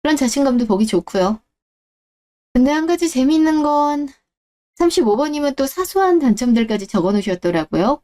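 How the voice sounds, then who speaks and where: A woman's voice: high-pitched, lively intonation, a little fast, dark tone, a little hiss or hum. A woman acting out a young woman co-CEO who interviews job applicants, speaking in a cool, composed, pointed way in a story radio drama.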